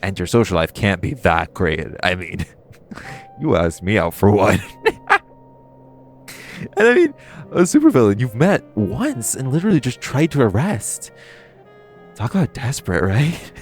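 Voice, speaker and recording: A man's voice: on the low side, big pitch swings, faint music behind. A man playing a flirty, cocky supervillain thief in an audio roleplay, chatting casually and teasingly to the listener. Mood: amused, teasing. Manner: casual, teasing, conversational with playful pauses.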